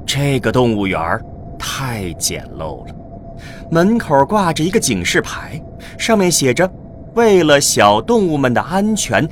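A man narrating a scripted radio drama as a storyteller. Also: medium pitch. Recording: clearly noisy with ambient vehicle sound.

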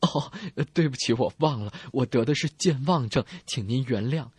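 A man's voice: medium pitch, takes it slowly, husky, dry recording, pitched below the performer's own voice. A man voicing a polite, absent-minded male patient in a radio comedy sketch, hesitant and apologetic.

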